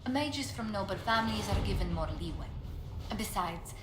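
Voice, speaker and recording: Echoey game audio, noticeable noise, actress as female diplomat, mid-pitched, formal, tactful, precise. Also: animated, normal speed, natural voice. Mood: businesslike, tactful. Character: poised, businesslike female diplomat and advisor. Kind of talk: video game dialogue acting. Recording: echoey game capture, clear background ambience.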